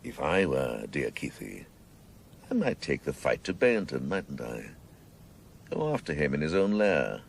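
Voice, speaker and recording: Very deep, sardonic police chief. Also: male voice, big pitch swings, measured pace, vocal fry, a little hiss or hum, full character voice. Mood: musing, menacing. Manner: sardonic, grandiose, savouring his words. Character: sardonic, obsessive senior police chief, theatrical and vengeful. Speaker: actor in radio drama. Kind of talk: radio drama acting.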